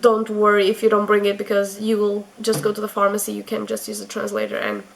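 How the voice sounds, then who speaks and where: Gravelly Portuguese woman vlogging advice. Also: mid-range voice, fast talker, slight background noise, rough recording. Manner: chatty, many fillers and restarts. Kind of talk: casual advice vlog.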